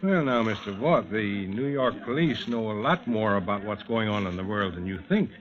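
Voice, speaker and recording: A man's voice: lowish voice, expressive, moderate pace, close to an everyday voice. A man playing a sardonic, folksy police inspector in 1940s radio drama style, on an old lo-fi recording. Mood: probing, insistent. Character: wry, probing New York police homicide inspector.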